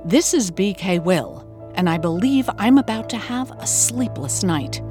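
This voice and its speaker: A woman playing a wry investigative podcast host, narrating a scripted mystery with deliberate suspense. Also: mid-range voice, expressive, natural voice. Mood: suspenseful. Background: music.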